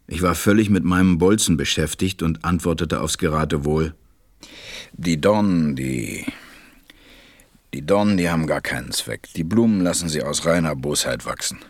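A man playing a stranded pilot who narrates a radio play in a measured, reflective way. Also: a bass voice, no room sound. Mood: preoccupied, irritated.

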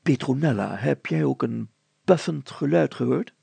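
A man's voice: medium pitch, expressive, moderate pace, creaky, dark tone, husky, no echo, full character voice. A man voicing a flustered, pompous mayor in a children's story, hesitant, formal and full of hemming. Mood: puzzled.

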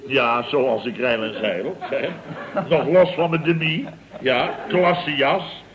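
An actor playing a blustering, self-important building contractor boss in a vintage radio comedy, with a pompous, rambling way of telling things. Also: male voice, mid-range voice, takes it slowly, crowd noise, natural voice. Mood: animated storytelling. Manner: pompous, rambling, chuckling raconteur with exclamations. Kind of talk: 1960s-70s Dutch radio comedy acting. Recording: dull vintage radio recording.

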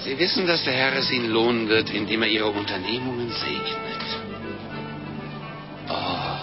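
Lively gluttonous fat little friar. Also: male voice, medium pitch, normal speed. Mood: jovial, confident. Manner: effusive, pious and gluttonous. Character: jovial, fat little mendicant friar obsessed with food. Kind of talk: German radio play acting. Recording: dull lo-fi radio play, loud music.

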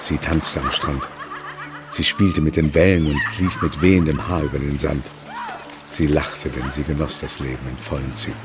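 An actor playing an older novelist who fondly recalls a summer romance in a German radio play, with background noise behind him. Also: male voice, rumbling low, fairly even intonation, moderate pace, over music. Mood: wistful, fond. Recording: clearly audible background ambience noise.

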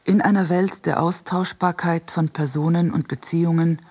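A reader delivering a scripted literary essay for radio in a measured, deliberate way. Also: medium pitch, steady tone, a little mellow, slightly rough.

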